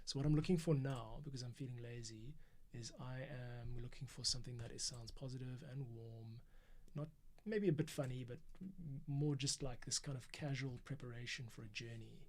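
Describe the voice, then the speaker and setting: A man's voice: mid-range voice, steady tone, moderate pace, darkish tone. A man thinking aloud in a casual, explanatory way as he narrates a behind-the-scenes audio editing screencast. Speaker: composer and podcast editor. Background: music playback from the editing session.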